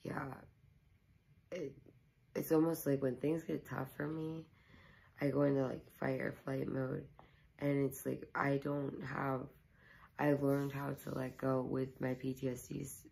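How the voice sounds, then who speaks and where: A young woman, hoarse, chatting casually to her camera at home and rambling with many ums and likes. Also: very deep voice, little pitch movement, unhurried, mellow, low-quality recording. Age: young adult. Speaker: YouTuber. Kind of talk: casual vlog chat to camera. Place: small living room at home, indoors.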